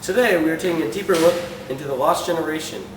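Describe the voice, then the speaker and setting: A man playing a 1920s newsman and interviewer in an amateur mock broadcast, presenting in a slightly halting way. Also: on the high side, close to an everyday voice. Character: 1920s newsman covering youth crazes and interviewing musicians. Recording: clearly noisy amateur recording.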